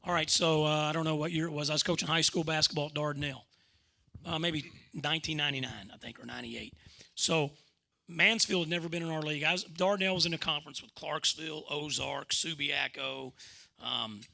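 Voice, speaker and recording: Middle-aged man, Southern Arkansas accent, higher pitch, very sharp bright tone, folksy rambling storytelling, coach-like. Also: fairly even intonation, fairly quick, vocal fry. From Arkansas, United States. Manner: folksy, rambling, repeats himself. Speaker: former basketball coach and commentator telling stories at a club talk. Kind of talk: animated informal storytelling.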